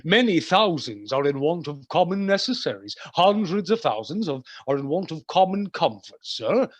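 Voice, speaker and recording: Guy doing a polite earnest gentleman, radio play, formal, voice a bit high and really lively. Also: normal speed. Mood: courteous. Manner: formal, courteous.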